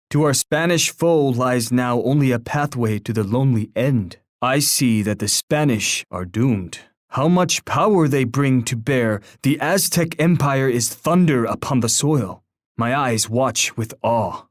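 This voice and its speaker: An actor voicing a grave, dignified war leader in a video game, speaking in a measured, oratorical and formally poetic way. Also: male voice, mid-range voice, no echo, clean studio sound, natural voice. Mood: solemn triumph, then grave awe. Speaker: game character voice. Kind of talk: scripted video game voice lines.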